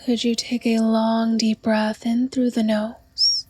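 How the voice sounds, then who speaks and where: A woman guiding a yoga nidra meditation in a soft, breathy, soothing and unhurried voice. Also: fairly high pitch, level tone, faint music behind. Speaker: meditation guide.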